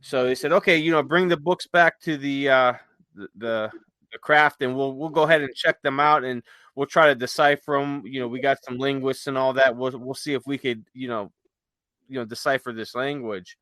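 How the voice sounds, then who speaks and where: A man podcast host talking casually, telling stories in a halting, conversational way. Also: mid-range voice, fairly even intonation, fairly bright tone, no echo. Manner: halting, conversational, retells stories with quoted dialogue. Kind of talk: casual chat, rambling storytelling.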